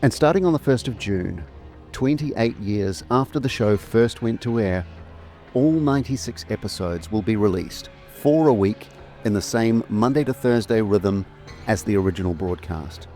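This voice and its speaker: A man's voice: lowish voice, animated, normal speed, dark tone. A middle-aged or older man presenting a scripted trailer as its narrator. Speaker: presenter and remastering producer. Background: faint music.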